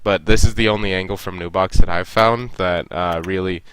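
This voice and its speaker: A man's voice: deep voice, fairly even intonation, brisk, a bit bright, clear, resonant tone, dry recording. A young man with a stuffy nose, casually reviewing a team's game and coaching the players directly. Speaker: esports coach reviewing a match.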